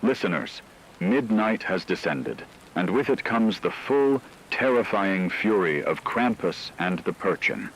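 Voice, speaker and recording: Man acting a grave radio reporter, deep voice, solemn horror drama narration, deliberate delivery, rainy storm noise behind. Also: animated, fairly bright tone, poor audio. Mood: grave, ominous. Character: grave male radio news reporter covering a supernatural catastrophe.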